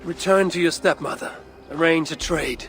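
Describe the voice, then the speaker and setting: A man playing a grave, brooding older Norse god in a video game cutscene, speaking in a measured, sometimes hushed way. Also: on the high side, creaky, faint music behind. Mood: resolute.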